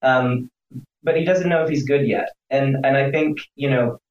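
A man's voice: medium pitch, moderate pace. A man talks through a long interview answer, restarting sentences and piling on fillers as he goes. He sits away from the mic, so his voice sounds distant and muffled, with a roomy echo around it. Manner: animated, rambling, many restarts and fillers. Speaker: stage actor, interview guest.

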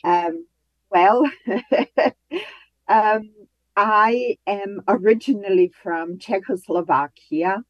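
A woman stage actor talking about her role, animated, pausing often. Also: on the low side, no room sound, dull audio. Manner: animated, with laughs and pauses. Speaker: stage actress.

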